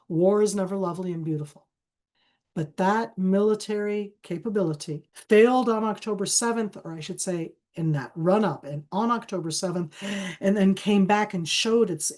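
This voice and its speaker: A woman's voice: medium pitch, darkish tone. Woman with a cold, emphatic and repetitive. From Israel. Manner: emphatic, repeats key phrases, frequent restarts. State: has a cold. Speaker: guest speaker on a webinar panel. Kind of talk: conversational analysis in an online Q&A.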